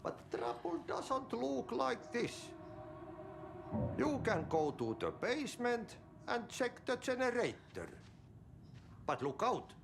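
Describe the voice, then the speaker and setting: A man's voice: very high voice, wildly swooping pitch, vocal fry, full character voice. An actor playing an eccentric man in a horror game who gives ominous, folksy warnings in riddles. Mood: ominous, cryptic. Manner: drawn-out, riddling delivery. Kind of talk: video game acting. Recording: prominent music behind voice.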